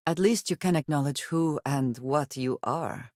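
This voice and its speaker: An actress playing a guarded, solemn woman spacefarer in a video game, speaking in measured, formal phrases. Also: low voice, darkish tone, no room sound, clean studio sound. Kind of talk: video game character dialogue.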